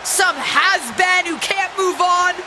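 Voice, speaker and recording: A woman's voice: very high-pitched, takes it slowly, noisy background. A woman playing a defiant young wrestler in a video game, delivering an indignant, sarcastic and emphatic promo. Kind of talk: shouted wrestling promo acting.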